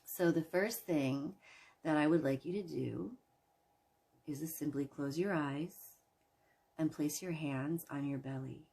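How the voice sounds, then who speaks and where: A woman leading a guided imagery relaxation in a soothing, gentle manner, leaving long pauses between phrases. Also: low voice, creaky, breathy edge. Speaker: guided meditation host.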